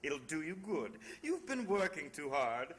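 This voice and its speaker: Genteel man, lilting and animated. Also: a little high, measured pace. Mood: coaxing. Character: genteel, coaxing male friend of the detective. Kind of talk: old-time radio drama acting.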